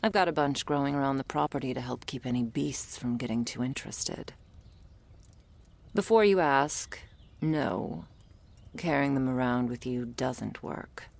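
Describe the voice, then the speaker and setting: A woman playing a gruff, world-weary female monster hunter in an ASMR audio roleplay, curt, sardonic and bossy as she addresses the listener. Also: unhurried, darkish tone, exaggerated voice. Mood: instructive, wry. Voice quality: low, husky, breathy with gravelly creak.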